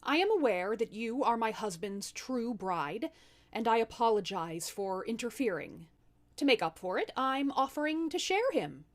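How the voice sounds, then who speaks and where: Casting: woman playing bold young storybook wife, shrewd, sassy negotiator, highish lively voice. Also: moderate pace. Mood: diplomatic. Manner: sassy, direct, modern slangy delivery.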